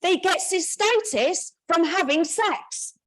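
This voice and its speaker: A woman's voice: very high-pitched, expressive, takes it slowly, pitched above the performer's own voice, heavily acted. A woman voicing her blunt, wry, devout older mother, talking plainly and bluntly. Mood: accusing. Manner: blunt, accusing.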